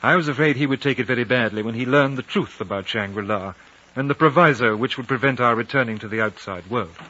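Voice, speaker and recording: Urbane, bright-voiced British consul. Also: male voice, medium pitch, fast. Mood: reflective narrating. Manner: polite, understated, dryly formal. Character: urbane, reserved British consul, thoughtful and wry. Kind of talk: 1950s radio drama dialogue and narration. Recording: dull 1950 radio recording.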